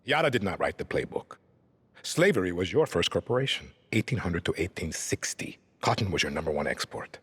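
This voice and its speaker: An actor playing a calm, controlling middle-aged dictator in a video game, with a Latin American Spanish accent and a measured, menacing delivery. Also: male voice, deep voice, breathy edge, full character voice. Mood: cold, cutting. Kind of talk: cinematic video game acting.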